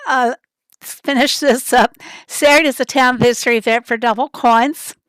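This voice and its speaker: A woman hosting a casual livestream, chatty and rambling as she greets viewers from the chat, her voice raspy and hoarse from a summer cold. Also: a little high, steady tone, moderate pace, fairly bright tone, dry recording. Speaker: livestream host.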